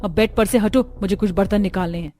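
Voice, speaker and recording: A woman's voice: medium pitch, fairly even intonation, fast talker, warm tone, slight background noise, close to an everyday voice. A woman voicing an aggrieved, argumentative young daughter-in-law in a Hindi family cartoon, with a nagging, indignant manner.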